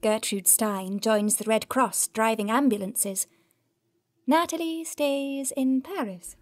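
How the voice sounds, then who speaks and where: A woman's voice: on the high side, takes it slowly, no echo, clean studio sound. An actress playing a narrator in an audio drama, a woman who prods and challenges with pointed interjections. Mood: informative.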